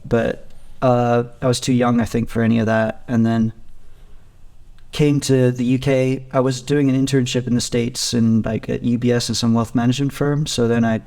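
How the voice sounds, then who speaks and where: Guy in his 30s from spain, casual podcast guest, pretty flat even delivery, medium pitch. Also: moderate pace. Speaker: podcast guest, gym co-founder. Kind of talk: casual interview storytelling.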